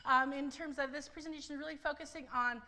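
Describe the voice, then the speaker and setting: Loud, bright-voiced woman presenter. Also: high voice, steady tone, quick pace. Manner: loud, chatty storyteller with many ums. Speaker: university writing instructor. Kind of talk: conference presentation with anecdotes. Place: library presentation room. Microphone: clip-on mic on her skirt.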